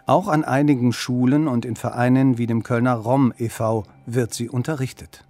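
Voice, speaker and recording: A man reading an informative radio documentary script in a clear, steady manner. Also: medium pitch, moderate pace, soft background music. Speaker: documentary narrator.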